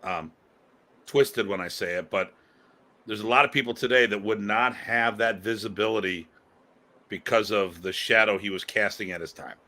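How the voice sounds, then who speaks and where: A man co-hosting a podcast in casual conversation, talking in an animated, emphatic way with casual swearing. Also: lowish voice, moderate pace. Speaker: podcast co-host.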